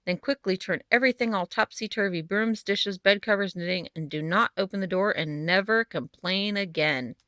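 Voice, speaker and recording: A calm village wise woman from a children's storybook, laying out her instructions step by step, as a woman reading aloud puts her on. The voice stays at a middle pitch and sounds dry and close. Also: normal speed, few pauses, no room sound, close to an everyday voice. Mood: advising. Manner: explaining, instructing.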